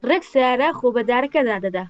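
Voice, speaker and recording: A woman telling a joke in animated storytelling style, acting out sound effects over a video call. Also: high-pitched, very fast, highs cut off.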